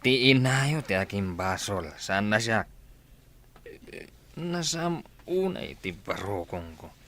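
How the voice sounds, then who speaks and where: A man's voice: fairly low pitch, expressive, slow, a bit bright, exaggerated voice. An actor playing a troubled, drunken village father in a radio drama, groaning and aggrieved. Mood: defensive, drunk.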